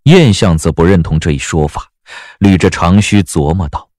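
A man narrating a dramatic audiobook as a measured, expressive storyteller. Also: low voice, no echo, studio quality.

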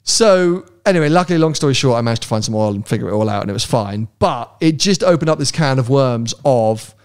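A middle-aged man co-hosting a casual podcast chat, rambling and chatty, his voice jumping up when he gets excited, recorded on a handheld mic in a hotel room. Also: mid-range voice, quick pace, clear, resonant tone. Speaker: podcast co-host. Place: hotel room by a big window. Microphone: handheld mic with headphones.

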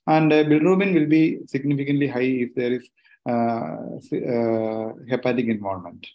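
A man in his thirties with an Indian accent, a doctor explaining haltingly as a panelist on a video call. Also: mid-range voice. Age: 30s. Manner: halting, hesitant delivery with frequent pauses. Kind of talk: explanatory medical panel answer. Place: small room indoors. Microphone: laptop on a video call. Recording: dull lo-fi video call audio.

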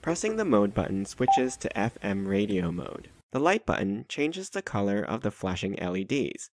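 A man's voice: lowish voice, very animated, normal speed, darkish tone, slight background noise. A man reading scripted narration for a product review.

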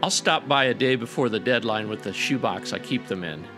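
A man's voice: mid-range voice, animated, brisk, lightly acted. A man playing a laid-back, disorganised client in a radio drama. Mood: nonchalant. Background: music under the voice.

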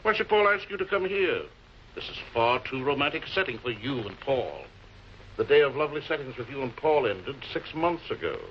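Man acting as witty sardonic suspect, lively intonation, arch, theatrical, 1940s TV drama, muffled old film recording. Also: mid-range voice, a little fast, a little hiss or hum, close to an everyday voice. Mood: sarcastic, needling. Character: suave, sarcastic male murder suspect, witty and unruffled.

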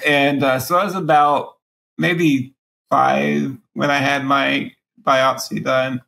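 Man, 40s, raspy and gravelly, halting delivery through a breathing machine, interview guest over video call. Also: medium pitch, fairly even intonation, sharp tone. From Alabama. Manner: speaks around a breathing machine mouthpiece, pausing often. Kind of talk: candid personal interview. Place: small room at home, indoors.

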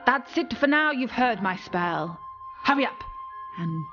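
A woman voicing an old male sorcerer who gives commands in measured, rhyming incantations. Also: fairly high pitch, animated, fairly bright tone, dull audio, faint music behind. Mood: commanding.

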